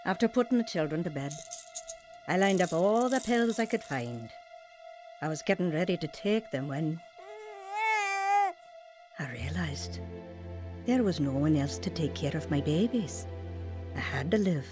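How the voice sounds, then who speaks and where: A woman's voice: low voice, animated, a little slow, long pauses, music in the background. A woman playing a vulnerable, earnest young wife and mother in a radio drama. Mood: somber, reflective. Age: young adult. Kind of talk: radio drama acting and first-person narration.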